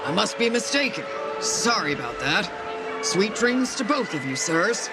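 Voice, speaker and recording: A high-voiced, hesitant, polite stranger. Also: male voice, sing-song, moderate pace. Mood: unsure, apologetic. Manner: hesitant, courteous. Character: polite, hesitant, apologetic male passer-by. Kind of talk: scripted video game voice acting. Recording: loud music and crowd noise behind. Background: music, crowd.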